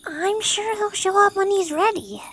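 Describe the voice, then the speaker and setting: A performer playing a mild, easygoing young fox boy in a dramatised audiobook, soft and reassuring. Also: very high-pitched, normal speed, slight background noise. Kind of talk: dramatised audiobook character acting.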